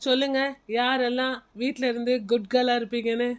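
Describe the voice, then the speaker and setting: A woman's voice: a little high, flat delivery, brisk, clear, resonant tone, lo-fi sound, natural voice. A woman playing a strict but caring senior schoolteacher in a classroom skit, instructing small children and checking that they agree.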